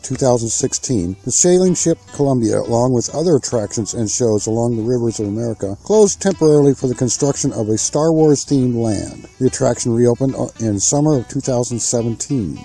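Mellow male documentary narrator. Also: mid-range voice, brisk, slightly rough, soft background music. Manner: steady, factual reading. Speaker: narrator of a park history video.

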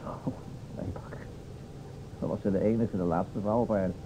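Man acting a low-voiced radio-play policeman. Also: fairly even intonation, normal speed, natural voice. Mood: dry, hesitant. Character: tall thin policeman, dry and sardonic. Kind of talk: old-time Dutch radio play acting. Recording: dull old radio recording, faint hiss.

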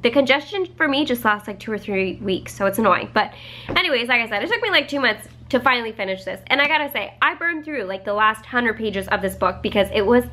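A woman's voice: a little high, animated, quick pace, pushed and bright. A young woman chatting casually to camera at home about a book, congested from a cold, with lots of fillers and laughs. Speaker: book vlogger. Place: home living room, indoors.

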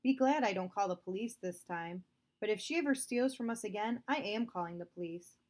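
A woman reading aloud, voicing a stern, domineering father who accuses a girl of theft, louder and higher than her narration. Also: expressive, fairly quick, lightly acted.

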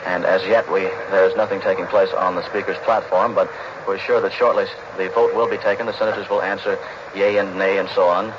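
A man's voice: deep voice, level tone, brisk. A man with an American accent announcing live on 1940s radio news. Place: live at the House chamber. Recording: noisy muffled 1940s radio recording.

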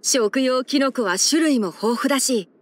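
A young male forest ranger chief with fox ears for an anime-style game. The voice is fairly high, light and boyish. He speaks in a measured, articulate way, clever and gentle with a soft wryness. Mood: matter-of-fact. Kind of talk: Japanese game character voice-over.

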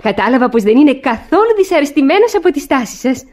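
An actress playing a saucy, sharp-witted young maidservant in a classic radio theatre comedy, pert and teasing. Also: female voice, sits high, sing-song. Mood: brisk.

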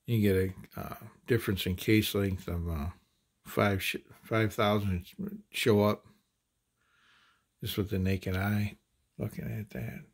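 A man with a husky, breathy voice talks slowly through a hands-on demonstration at an indoor table. His delivery is halting, full of fillers and restarts, and his voice sits a little low. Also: steady tone. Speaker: handloading channel host.

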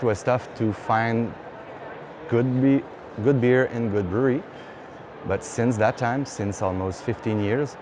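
Man in his thirties, Quebec French accent, halting English, lowish voice, lapel mic, noisy festival hall. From Quebec, Canada. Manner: halting, many fillers and restarts. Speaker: brewery founder. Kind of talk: on-camera interview answer. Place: indoor beer festival hall. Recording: hall noise behind.

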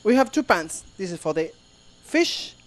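A man's voice: high voice, sing-song, moderate pace. A man from Chile with a strong Chilean Spanish accent, a ship's chef joking with the audience in broken English during a live cooking demonstration. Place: ship's show kitchen before an audience.

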